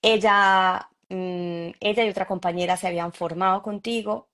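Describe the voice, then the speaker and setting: A woman's voice: mid-range voice, steady tone, normal speed, a bit bright. A Colombian woman in her forties, a psychologist guest, telling her story in an easy, laughing way. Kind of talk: conversational interview.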